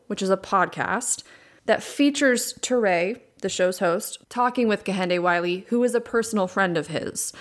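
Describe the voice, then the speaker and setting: A young adult woman hosting a solo podcast, with a raspy voice and vocal fry worn from recording many times, talking candidly and repeating words for emphasis. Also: medium pitch. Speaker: podcast host. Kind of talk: casual solo podcast talk. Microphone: USB condenser microphone.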